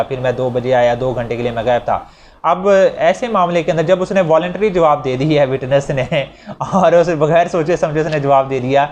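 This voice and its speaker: A man's voice: fairly high pitch, a little mellow. A man with a Pakistani accent giving an explanatory law lecture in a conversational, repetitive way, mixing in English legal terms. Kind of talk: explanatory lecture with examples, alternating with reading statute text aloud. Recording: noisy recording with background ambience.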